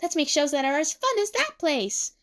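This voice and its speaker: Squeaky, bubbly young girl. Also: big pitch swings, normal speed, a bit bright, heavily acted. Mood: eager. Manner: squeaky, gushing. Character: bubbly, excitable, innocent young girl performer.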